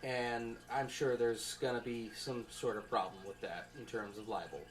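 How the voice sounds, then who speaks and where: A young adult college student giving an informal class presentation in a conversational, rambling and halting way, as if thinking aloud. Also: mid-range voice, fairly even intonation, poor audio.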